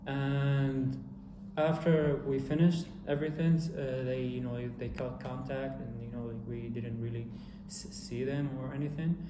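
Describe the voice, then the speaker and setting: A man's voice: medium pitch, steady tone, unhurried. A young man from Syria answering interview questions casually, rambling with many fillers and restarts. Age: young adult. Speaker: interviewed student. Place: indoor studio room with a cartoon backdrop. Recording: echoey room with noisy background.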